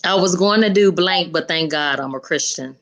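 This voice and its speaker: Female interview host, lower register, casual and affirming with guests. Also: moderate pace, a bit bright. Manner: encouraging, echoes and affirms what guests say.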